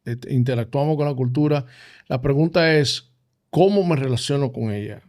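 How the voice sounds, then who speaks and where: A Dominican man co-hosting a casual church talk, speaking in a thoughtful, halting way with many fillers. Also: mid-range voice, darkish tone. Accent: Dominican Spanish. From Dominican Republic. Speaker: podcast co-host.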